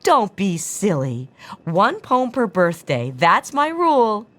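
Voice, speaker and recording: A woman's voice: medium pitch, huge pitch swings, slow, fairly bright tone, heavily acted. Doting, sing-song old lady. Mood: playful, fond. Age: older. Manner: fussing, sing-song endearments. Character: doting chatty old lady neighbor, kindly and playful. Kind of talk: video game voice acting.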